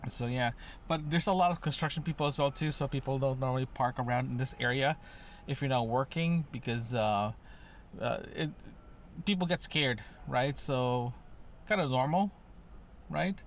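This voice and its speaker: A man's voice: medium pitch, normal speed, a little hiss or hum. A man talking casually and conversationally in a solo audio diary, meandering as he goes and often checking in with a tag question. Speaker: solo podcast host and vlogger.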